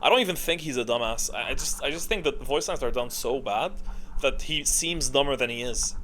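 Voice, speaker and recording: An ordinary mid-pitched male voice, recorded with some background noise. He talks like a video creator ranking things off the cuff, blunt and informal. He often stalls mid-sentence as he works out his opinion. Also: fairly bright tone. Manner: opinionated, informal, mildly profane; halting, thinking aloud mid-sentence. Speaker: video creator giving a tier list. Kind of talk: casual off-the-cuff ranking commentary. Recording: clearly audible background noise.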